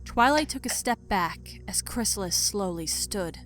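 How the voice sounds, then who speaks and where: A woman's voice: medium pitch, lively intonation, measured pace, fairly bright tone. A woman narrating a dramatic story from a script. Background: music.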